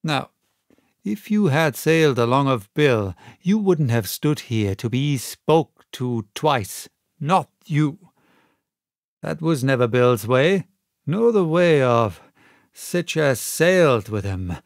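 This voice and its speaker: A sly pirate whose talk sways between fawning and sneering, his wheedling lines climbing higher and louder than a plain voice, as a male reader puts him on. He is a middle-aged sailor with a maimed hand, forever playing the old friend. The read is close and dry. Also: lively intonation, slow pace, gravelly, no echo.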